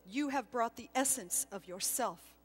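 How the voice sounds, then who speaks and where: Solemn angel gatekeeper played by a woman, firm, measured, authoritative yet not unkind, dramatic storytelling. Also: fairly high pitch, husky, in a large hall. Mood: solemn, stern.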